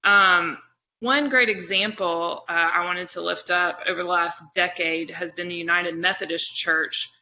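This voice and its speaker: Woman webinar panelist, very bright forceful voice with plenty of fry, on dull call audio. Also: fairly low pitch, steady tone, a little slow. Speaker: faith advocacy organizer, panelist. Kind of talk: webinar presentation. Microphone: video call.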